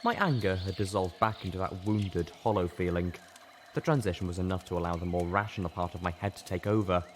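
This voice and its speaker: A man's voice: low voice, fairly quick, a little hiss or hum. A man reading an audiobook as a dramatic, intense first-person narrator. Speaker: audiobook reader.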